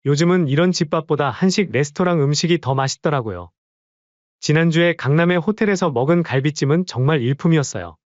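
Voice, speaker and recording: A man's voice: on the high side, a little fast, no room sound, lightly acted. A man voicing an arrogant son-in-law who speaks curtly and dismissively, recorded dry and slightly dull. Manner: curt, offhand, dismissive.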